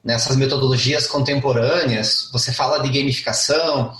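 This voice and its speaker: A man's voice: medium pitch, fairly even intonation, moderate pace, fairly bright tone. A man from Brazil co-hosting an interview, praising the guest's methods before asking a question. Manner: praising, naming teaching methods. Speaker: podcast co-host. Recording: roomy echo.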